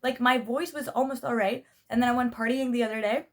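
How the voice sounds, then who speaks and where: A young adult woman chatting casually in a vlog, with a slightly raspy voice and a rambling, self-interrupting manner. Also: fairly high pitch, fairly even intonation, brisk. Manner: rambling, self-interrupting, frequent check-in tags. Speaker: vlogger.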